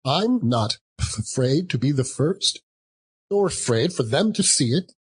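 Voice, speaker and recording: A man's voice: mid-range voice, sing-song, a little slow, breathy edge, voice pushed up, heavily acted. A man voicing a brazen, callous old charwoman in a theatrical storybook reading, scornful and exclamatory. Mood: scornful, defiant. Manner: exclamatory, scornful, laughing between phrases.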